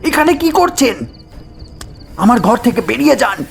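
Man acting a high-pitched, terrified male guest. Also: sing-song, a little slow, gravelly, over music, full character voice. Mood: frightened. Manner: stammering, repeating himself in panic. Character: terrified male hotel guest facing a ghost. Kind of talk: horror audio story acting.

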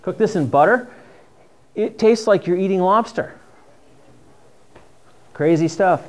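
A man's voice: sits high, measured pace. A middle-aged man with a New England accent, teaching a class on foraging in a dim classroom, chatty and enthusiastic. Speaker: mushroom foraging instructor. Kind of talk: casual classroom talk with slides. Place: dim classroom with projector screen. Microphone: far camera.

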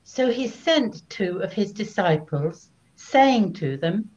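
An older woman narrating scripture solemnly and deliberately, with expression, into a webcam in a small room at home. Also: mid-range voice, lively intonation, darkish tone. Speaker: narrator (evangelist). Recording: slightly dull webcam recording.

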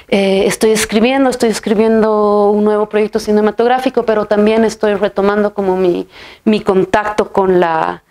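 A woman in her thirties, a filmmaker from Bolivia, sits in a bright room and answers an interviewer's questions. Her voice sits in an ordinary middle pitch. She speaks reflectively, pausing on fillers and tagging her thoughts with a soft questioning "right?". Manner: thoughtful, many restarts and fillers, ends phrases with "¿no?". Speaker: filmmaker and writer. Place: bright room with a plain wall, seated in an armchair.

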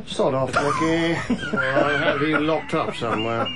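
An actor playing a world-weary, haughty senior civil servant in a radio drama, with an urbane, sardonic English accent. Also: male voice, a little high, wildly swooping pitch, measured pace, heavy background noise.

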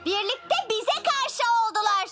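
A woman's voice: extremely high pitch, expressive, measured pace. A woman voicing a mischievous young villain girl in a dubbed cartoon, speaking in a bratty, whiny way. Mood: complaining. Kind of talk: Turkish cartoon dub. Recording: music behind dialogue.

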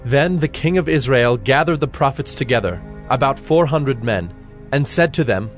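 Mid-pitched man narrating over music. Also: expressive. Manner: measured, formal reading. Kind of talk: scripted Bible narration.

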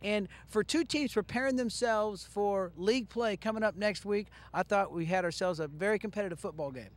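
A middle-aged man hosting a post-game football show outdoors at night, speaking into a handheld mic with brisk, upbeat commentary. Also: high-pitched. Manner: brisk, upbeat play-by-play delivery. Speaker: sportscaster host.

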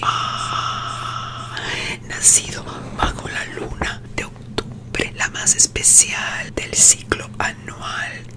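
Slow, whispering middle-aged woman in ASMR roleplay. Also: poor audio. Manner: slow, hushed, soothing, theatrical. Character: playful vampire doctor giving a nerve exam. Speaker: ASMR performer. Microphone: close studio microphone on desk.

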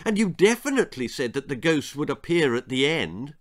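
A man's voice: a little high, sing-song, fairly quick. An older man voicing a frightened, impressionable young boy in a children's ghost story, yelping and pleading. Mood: challenging.

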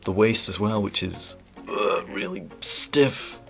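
A man's voice: lowish voice, slow, vocal fry, a bit bright, faint music behind. A man with a cold and a congested voice, chatting enthusiastically while reviewing toys at a table indoors. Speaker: toy reviewer. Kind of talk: casual toy review. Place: indoors at a table, hands on camera.

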